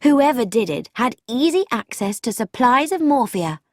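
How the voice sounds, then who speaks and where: A woman reading a story, voicing an eager, quick-witted young woman sleuth in a higher, livelier voice. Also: no room sound, studio quality. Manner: excited, insistent, animated.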